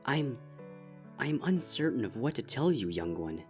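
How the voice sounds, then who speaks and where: A man voicing a regal pony princess who speaks in a formal, measured and soothing way. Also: mid-range voice, sing-song, a little mellow, faint music behind, voice pushed up. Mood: concerned.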